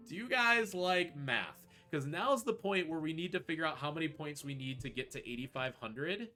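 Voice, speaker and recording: Bright, lively man casually commentating his speedrun. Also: fairly high pitch, moderate pace. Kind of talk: casual live gameplay commentary. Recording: faint music behind the voice. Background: game music.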